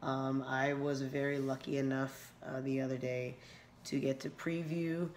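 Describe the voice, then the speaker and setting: A woman in her thirties with a hoarse, scratchy voice, chatting casually through an unboxing at home, rambling with many fillers and restarts, picked up by the built-in mic of a camera close by in a small room. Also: very deep voice, flat delivery, a little slow, a little mellow. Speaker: unboxing vlogger. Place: small room indoors at night.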